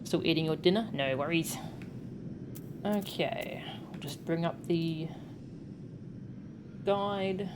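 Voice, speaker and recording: A woman's voice: lowish voice, takes it slowly, long pauses, noisy background. A woman game streamer chatting casually to her viewers, informal and given to muttered asides. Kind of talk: casual live stream chat, reading game text aloud.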